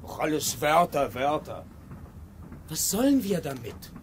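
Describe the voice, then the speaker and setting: An actor playing a bitter, scornful grandson in an early 1950s radio play. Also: male voice, fairly high pitch, sing-song, measured pace. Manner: scornful, impatient. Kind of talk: 1950s radio drama acting. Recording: hissy old 1950s radio tape.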